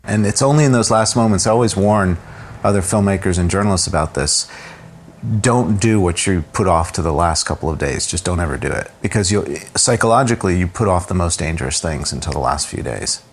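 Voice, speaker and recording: A male filmmaker recounting a personal ordeal in calm, reflective conversation, full of casual fillers. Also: low-pitched, fairly quick, slight background noise. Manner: reflective, calm, with frequent "you know" fillers. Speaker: interview guest, filmmaker and journalist.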